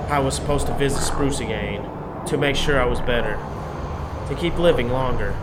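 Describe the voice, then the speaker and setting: A man hosting a gaming video, reading a story aloud and dropping in casual, wry asides. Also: mid-range voice. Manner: reads lines aloud, drops into wry commentary. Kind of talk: game narration with casual asides. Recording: loud game music behind.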